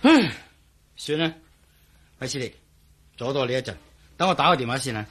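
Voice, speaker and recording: An actor playing a worried, blustery police officer in a radio drama, speaking Hong Kong Cantonese with emphatic, sighing delivery. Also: male voice, medium pitch, animated, normal speed, a bit bright, slightly rough. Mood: weary.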